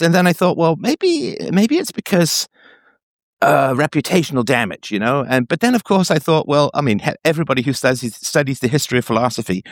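A man hosting a casual call-in show, talking in an emphatic, opinionated way, his voice jumping higher when he gets worked up. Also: dry recording, clean studio sound. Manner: emphatic, opinionated, pitch swings up when excited. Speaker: podcast host. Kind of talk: casual philosophical call-in chat.

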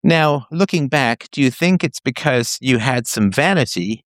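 A man hosting a probing interview, following each answer with a pointed logical question. His voice sits at an ordinary middle pitch and is recorded close and dry, with no room sound. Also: animated, a little slow, fairly bright tone, no echo. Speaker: host interviewing a caller.

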